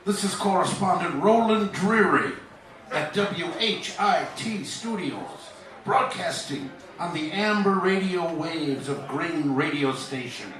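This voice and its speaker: Man playing a slow, echoey old-time radio announcer. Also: on the high side, a bit bright. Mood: grandiose. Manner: theatrical, drawn-out delivery. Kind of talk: 1940s radio announcing parody. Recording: echoey distant old-radio sound, music behind.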